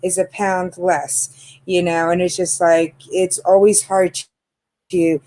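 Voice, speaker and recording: Woman, 50s, low-ish warm voice, chatty, filler words, casual makeup talk. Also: level tone, slow. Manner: chatty, lots of fillers and laughs. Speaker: channel host. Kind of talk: casual chat about makeup.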